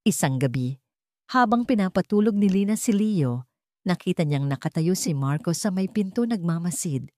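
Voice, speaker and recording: Expressive Filipino woman narrating, lowish voice. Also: no room sound, clean studio sound. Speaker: story narrator. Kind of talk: scripted dramatic audio story narration.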